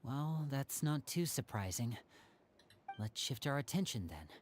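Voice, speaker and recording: An actor playing a composed young magician and older brother in an anime game, telling things in a measured, theatrical way. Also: male voice, mid-range voice, sing-song, slight background noise. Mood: calm, grave.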